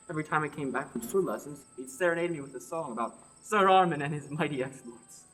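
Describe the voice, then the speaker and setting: A woman's voice: low voice, a little fast, a bit bright. A young woman acting a teasing, battle-hardened young warrior on a quest in a radio play. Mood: regretful, wistful. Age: young adult. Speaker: student actor. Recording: clear background noise, night insects.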